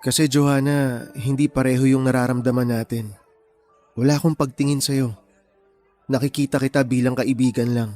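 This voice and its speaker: An actor playing a brainy, introverted, considerate young man in a radio drama, speaking in a measured, gentle way. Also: mid-range voice, fairly even intonation, faint music behind, natural voice. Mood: awkward, apologetic.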